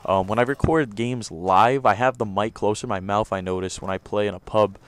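A young man with a deep voice giving loose, rambling commentary over his own gameplay, recorded on a close home mic. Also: steady tone, brisk, smooth. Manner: rambling, self-correcting, loose slang. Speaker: gaming commentator.

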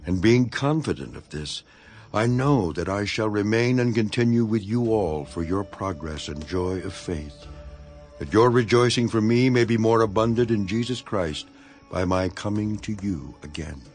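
Low-voiced, solemn imprisoned apostle. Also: male voice, lively intonation, a little mellow, faint music behind. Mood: fervent reflection. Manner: solemn, measured, earnest. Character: Apostle Paul, imprisoned, earnest, devoted elder writing to his flock. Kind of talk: dramatized scripture reading.

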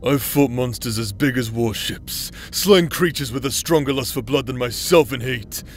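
A man's voice: mid-range voice, normal speed, a little hiss or hum, natural voice. A man voicing a proud, foul-mouthed, grumpy warrior in a comedic fantasy audio drama, with a sardonic, irritable manner. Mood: grim, weary.

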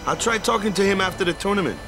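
A man's voice: sits high, very fast. An actor playing a laid-back, defensive but good-hearted young male athlete in a video game, talking colloquially with tag words. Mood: resigned, thoughtful. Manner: colloquial, tags sentences with "eh", "ya" or "huh". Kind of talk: video game cutscene acting. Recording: music behind the voice.

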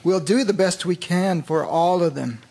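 An actor in a radio drama playing a calm, kindly army doctor and commanding officer with a measured, reassuring manner. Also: male voice, high voice, creaky, slightly rough, full character voice.

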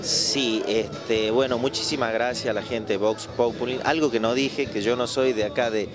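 A man's voice: medium pitch, fairly even intonation, normal speed. A young Argentine man from Tucumán, interviewed as a folk singer into a handheld mic in a busy bar, talking modestly with some hesitation. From Tucumán province, Argentina. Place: busy bar with live music. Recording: loud live music behind.

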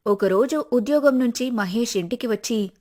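A woman's voice: fairly high pitch, normal speed, darkish tone. A woman storyteller reading scripted narration for a family story.

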